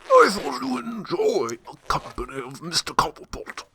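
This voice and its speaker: Guy as sardonic loyal butler in radio drama, formal, bright voice, kinda high for a man, swoops around. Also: huge pitch swings, moderate pace, gravelly, a little hiss or hum, heavily acted. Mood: dry sarcasm. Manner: formal, wry, admonishing. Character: dry, sardonic, loyal male butler and mentor.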